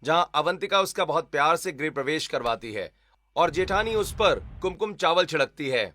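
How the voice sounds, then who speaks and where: A man's voice: on the high side, expressive, moderate pace, pushed and bright. A man narrating a scripted Hindi family story.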